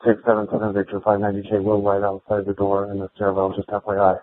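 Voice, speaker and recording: A man's voice: on the low side, monotone, quick pace, dry recording. A male police officer giving terse radio traffic over a police scanner. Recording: tinny narrowband police scanner radio audio.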